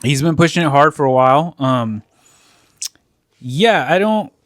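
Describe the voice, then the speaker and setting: A man's voice: on the high side, expressive, measured pace, dry recording. Man, podcast co-host, casual, rambling, swears, dry close mic. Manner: loose, swears, trails off. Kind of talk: casual chat. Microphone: studio mic.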